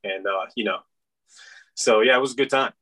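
Male podcast guest, 50s, from Iran, meandering and filler-heavy, bright tone. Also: on the low side, fairly quick. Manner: rambling, many fillers. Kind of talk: casual interview chat.

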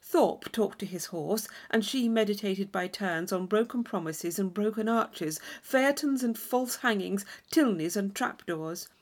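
A woman's voice: mid-range voice, a little slow, few pauses. A woman reading a classic novel aloud as scripted audiobook narration.

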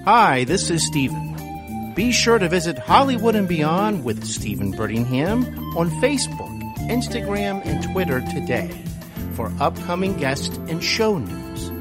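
A man hosting an interview podcast, speaking in a courteous, deliberate and effusive way. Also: high-pitched, sing-song, loud music behind the voice. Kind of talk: scripted introductions and polite interviewing.

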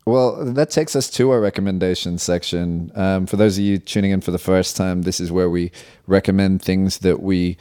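A man with a deep, mellow voice hosts a podcast. He speaks casually and conversationally, as if chatting with co-hosts. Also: steady tone, moderate pace, few pauses. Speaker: podcast host.

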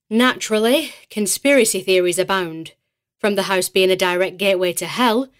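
A woman's voice: medium pitch, lightly acted. Wry woman acting as mystery podcast host. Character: female host of a spooky mystery podcast, arch and breezy. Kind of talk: scripted podcast narration in an audio drama.

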